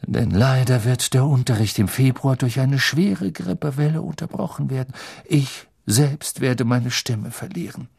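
A man voicing a thin, theatrical female fortune-telling teacher with a slow, portentous, airy and ominous manner in an audiobook. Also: rumbling low, expressive, gravelly, a little mellow, husky, dry recording, studio quality, exaggerated voice. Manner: slow, drawn-out, portentous delivery.

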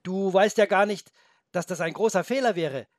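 A man voicing a brave young boy in a storybook, speaking high, urgent and pleading. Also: moderate pace, no echo, studio quality, lightly acted. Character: brave young boy, frightened but clever. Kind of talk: storybook reading.

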